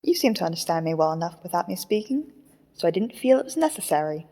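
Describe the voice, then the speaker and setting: A woman voicing a calm, aloof haunted puppet in a fan audio drama, speaking in a measured, matter-of-fact way with a ghostly reverb. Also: on the low side. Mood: calm, detached. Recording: long echoing reverb on voice.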